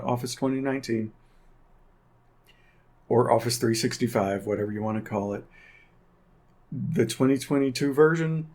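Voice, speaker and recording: A man's voice: medium pitch, a little mellow. A man giving casual, unscripted tech commentary as a video host, in a conversational, opinionated way.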